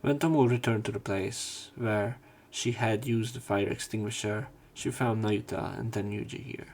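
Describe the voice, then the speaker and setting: A man narrating a visual novel playthrough, reading story text and dialogue aloud with some halting pauses. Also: fairly low pitch, steady tone, darkish tone. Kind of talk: scripted narration and character line reading. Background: game music, keyboard typing.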